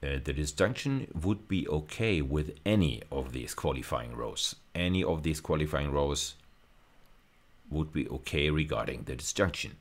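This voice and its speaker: Male university lecturer, very deep bass voice, step-by-step technical explanation, repeated and rephrased phrases. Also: lively intonation, moderate pace.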